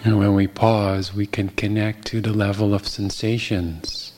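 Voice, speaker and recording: A man guiding a meditation as a teacher, speaking gently and unhurriedly with long pauses. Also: fairly low pitch, little pitch movement. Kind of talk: guided meditation.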